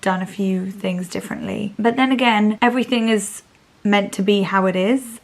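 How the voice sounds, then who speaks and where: Woman in her thirties, chatty q&a vlog, candid and rambly, kinda low voice from a strained throat. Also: normal speed. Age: 30s. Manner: chatty, candid, lots of likes and honestlys. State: voice still recovering, speaking low. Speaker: vlogger. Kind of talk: casual Q&A chat to camera.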